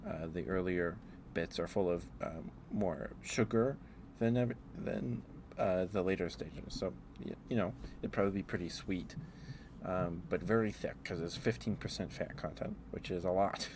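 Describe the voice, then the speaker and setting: A man streaming a casual, rambling ASMR chat, speaking in a halting, musing way as if thinking aloud. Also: low-pitched, background noise. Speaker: livestreamer.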